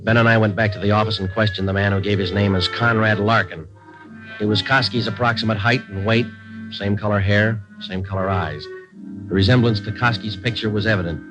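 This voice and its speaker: An American actor playing a terse, stoic police detective sergeant in a 1940s radio crime drama, clipped and matter-of-fact. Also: male voice, lowish voice, fairly even intonation, brisk, lightly acted, low-quality recording. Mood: flat, businesslike. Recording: vintage radio recording with music behind.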